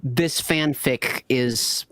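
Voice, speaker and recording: A man's voice: mid-range voice, very slow, fairly bright tone, no echo. A man chatting casually and explaining plot points to fellow panel members.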